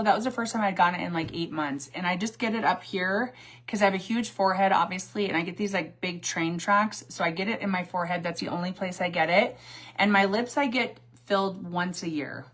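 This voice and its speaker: A woman in her forties talks casually through a livestream as she does her makeup. She is sassy and chatty, answers viewers' comments and laughs often, in a mid-pitched voice. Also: fairly bright tone. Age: 40s. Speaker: livestream host. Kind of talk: casual livestream chat while doing makeup.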